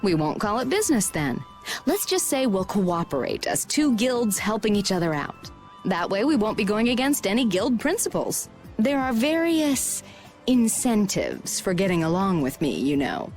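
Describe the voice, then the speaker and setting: Actress as shrewd guild president, polished, commanding, persuasive, lively intonation, game dialogue. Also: female voice, mid-range voice, moderate pace, faint music behind, lightly acted. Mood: persuasive, confident. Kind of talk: video game dub acting.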